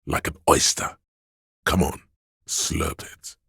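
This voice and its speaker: A man's voice: takes it slowly, a bit bright, dry recording, clean studio sound. A man playing a seductive, indulgent inner voice of craving in a video game, narrating in the second person with a theatrical, coaxing, savoring delivery. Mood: coaxing, urging. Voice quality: very deep, resonant.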